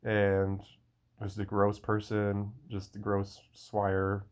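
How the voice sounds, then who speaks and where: A man's voice: fairly low pitch, monotone, takes it slowly. A young man talking in a casual solo monologue, rambling and restarting often. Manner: rambling, frequent restarts and fillers.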